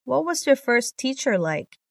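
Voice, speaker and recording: A woman reading scripted English lesson sentences for a listening test, in a measured, carefully enunciated manner. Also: high-pitched, sing-song, vocal fry, noisy background. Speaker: exam listening test reader.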